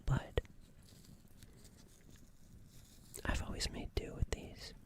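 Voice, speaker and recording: A performer voicing a gentle, apologetic alien medic in a whispered ASMR roleplay, hesitant and halting, softly repeating phrases. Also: lightly acted. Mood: soothing, careful. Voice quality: breathy whisper.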